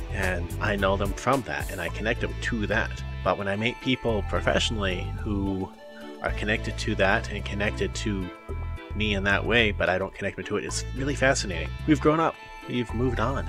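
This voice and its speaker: A man hosting a casual interview, talking like an enthusiastic fan. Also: fairly low pitch. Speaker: interview show host. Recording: music playing behind the voice.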